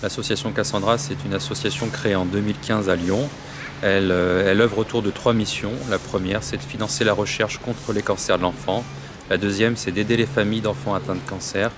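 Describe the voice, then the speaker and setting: A man's voice: on the low side, steady tone, fairly quick. A man representing a charity, explaining its work in an earnest interview with some hesitations. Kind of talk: interview statement. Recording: outdoor street noise.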